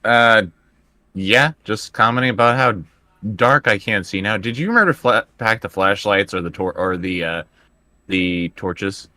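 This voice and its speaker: A man with a low, bright voice, recorded close and dry, plays a tabletop role-playing game. He improvises casually, often stammering and hesitating as he hunts for the right word. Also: normal speed, no room sound. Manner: hesitant, stammering over words.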